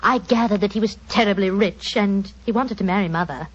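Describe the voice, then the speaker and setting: A woman's voice: medium pitch, brisk, natural voice. An actress playing a wistful British woman narrating childhood memories expressively in 1940s radio drama style. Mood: nostalgic. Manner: expressive, storytelling. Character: wistful, warm Englishwoman recalling her childhood. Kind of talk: 1940s radio acting, first-person narration. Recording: muffled 1940s radio recording.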